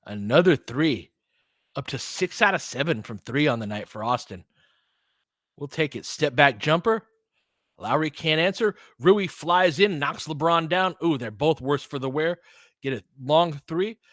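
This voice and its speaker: A man's voice: a little high, animated, measured pace, a bit bright, no echo, studio quality. A man giving informal live basketball play-by-play and analysis, conversational and openly partisan, like a fan of the home team.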